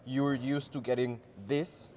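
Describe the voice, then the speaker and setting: A man's voice: medium pitch, level tone, slow, darkish tone, a little hiss or hum. A man whose first language is Spanish, interviewing in English, eagerly agreeing and nudging the talk along. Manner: eager, affirming with frequent "yes" and "of course". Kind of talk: casual interview.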